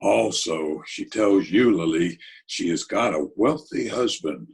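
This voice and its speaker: A man playing a laconic, scheming Broadway tough guy in an old-time radio drama, with a husky voice and terse, deliberate delivery. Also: sits low, lively intonation, heavily acted. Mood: musing, scheming. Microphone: video call recording.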